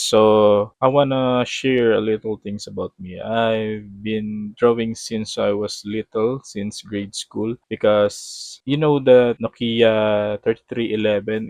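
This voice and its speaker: A man in his thirties with a Filipino accent talks in a fairly low voice, casual and unhurried. He keeps correcting himself and hunting for the right English word as he plays. The recording is close and dry, with no room around it. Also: darkish tone, no room sound. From Philippines. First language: Tagalog. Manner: rambling, self-correcting, searching for words. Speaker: gaming vlogger. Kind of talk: casual gameplay commentary.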